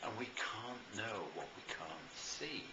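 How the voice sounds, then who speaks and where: A British man speaking as a coach promoting his services in an informal, enthusiastic and emphatic talk with deliberate pauses, recorded in a roomy space. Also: on the low side, expressive. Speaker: life and business coach promoting own services. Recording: roomy echo, faint background noise.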